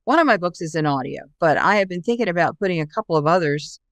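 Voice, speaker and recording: A woman's voice: fairly low pitch, lively intonation, fast talker, no room sound. A woman hosting a podcast, moving between a read introduction and interview talk. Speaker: podcast host.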